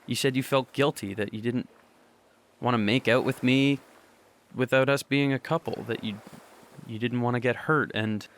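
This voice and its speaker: Guy playing a yearning high school boy in an audio drama, halting, unsure, kinda confessional. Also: steady tone, natural voice. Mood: confused, anxious.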